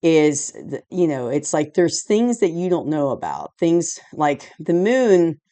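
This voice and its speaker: A hoarse woman recovering from a cold, giving a rambling, casual tarot reading indoors at home, full of "you know" and "like". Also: deep voice, sing-song, measured pace, dark tone, dry recording. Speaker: tarot reader. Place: indoors at home, dim room lit by a candle and lamp.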